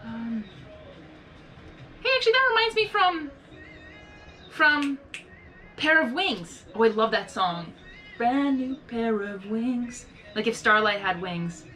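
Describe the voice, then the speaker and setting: A woman's voice: a little high, expressive, measured pace, long pauses, sharp tone, faint music behind. A woman hosting a live reaction video, chatting in a rambling way and often searching for the right words. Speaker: reaction channel host. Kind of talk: casual live reaction commentary.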